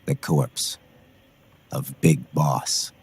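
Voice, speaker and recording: A brooding game warrior with a very deep, husky voice. He sounds detached and cryptic, handing out short, clipped phrases. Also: little pitch movement, extremely slow, darkish tone, exaggerated voice. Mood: cold, cryptic. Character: brooding, detached male warrior on a secret mission. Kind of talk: video game cutscene acting.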